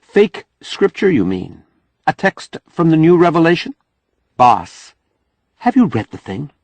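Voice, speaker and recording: A spirited young woman in an audiobook, played by a male narrator. The pitch is lifted well up, with some huskiness and a dry studio closeness. The delivery is earnest, outspoken and challenging. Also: sing-song, measured pace, a little mellow, no room sound, exaggerated voice. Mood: indignant. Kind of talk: audiobook character dialogue.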